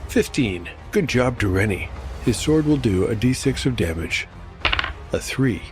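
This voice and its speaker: A man's voice: mid-range voice, expressive, unhurried. A man narrating his solo tabletop game, rolling dice aloud and musing on the results. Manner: rolls dice aloud, reflective asides. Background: music.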